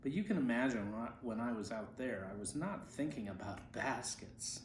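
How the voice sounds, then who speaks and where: A man reading the words of a chatty, humble monk in his seventies, plain-spoken and gently amused, for a story. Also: medium pitch, expressive, moderate pace, close to an everyday voice. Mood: reminiscing. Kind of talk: storybook reading of quoted speech. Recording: roomy echo, faint background noise.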